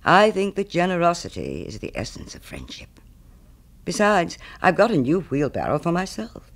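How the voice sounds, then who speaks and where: A man's voice: fairly high pitch, very animated, moderate pace, gravelly, a bit bright, voice pushed up, full character voice. A man voicing a smug, selfish miller who preaches friendship in a pompous, moralizing way, as a storybook character. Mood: self-satisfied. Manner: pompous, self-righteous, moralizing.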